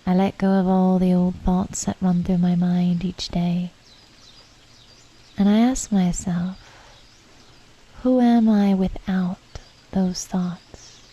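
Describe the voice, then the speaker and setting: Very mellow, slow woman guiding meditation. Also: lowish voice. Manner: calm, unhurried, reflective, with pauses. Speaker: meditation guide. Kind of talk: guided meditation narration.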